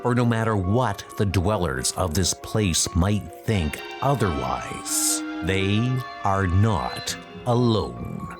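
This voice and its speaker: Male narrator for comic book adventure: deliberate pacing, wide expressive intonation, dramatic delivery. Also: sits low. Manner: measured, dramatic. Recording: loud music behind.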